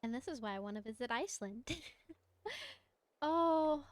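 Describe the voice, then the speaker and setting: A woman chatting casually on a livestream as she plays, answering viewers in an expressive, encouraging, effusive way with a mezzo voice. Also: on the high side, normal speed, no echo. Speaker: VTuber live streamer. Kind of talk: casual livestream chat while gaming, answering viewer comments.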